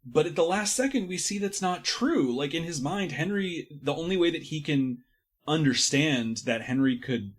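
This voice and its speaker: Casual, analytical man with a slightly husky voice. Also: a little high, expressive, moderate pace. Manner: analytical, slangy. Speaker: podcast panelist. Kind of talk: casual book discussion.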